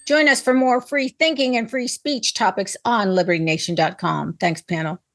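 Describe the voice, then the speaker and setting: A woman's voice: mid-range voice, steady tone, moderate pace. A woman hosting a panel talk show, delivering commentary and discussion in an opinionated, emphatic way.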